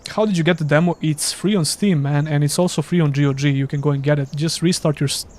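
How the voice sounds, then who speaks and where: A man's voice: on the high side, steady tone, quick pace, a little mellow. A man from Serbia with a Serbian accent, a gaming streamer chatting casually and self-deprecatingly while playing live. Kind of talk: casual live gameplay commentary.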